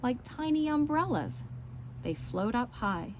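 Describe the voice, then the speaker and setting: A woman speaks slowly, as in a story time for young children. She explains things simply and checks in with questions as she reads and shows. There is some background noise in the recording. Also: on the high side, sing-song. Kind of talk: read-aloud and show-and-tell for young children.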